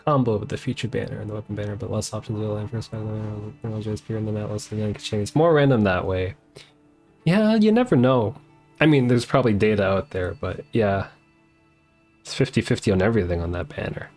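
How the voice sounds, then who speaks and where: A man's voice: fairly low pitch, fairly quick, a little mellow, faint music behind. A man chatting casually as he live-streams a video game. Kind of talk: casual live-stream chat, reading game dialogue aloud.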